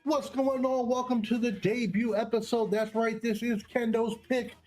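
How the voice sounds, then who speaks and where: A man's voice: extremely high pitch, level tone, moderate pace. A man hosting casual wrestling commentary in an enthusiastic, slangy and profane way. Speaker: segment host and match commentator.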